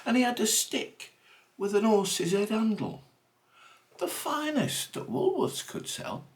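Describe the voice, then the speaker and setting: A man theatrically reciting comic verse with dramatic, deliberate phrasing. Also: high voice, expressive. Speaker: reader of a comic monologue poem.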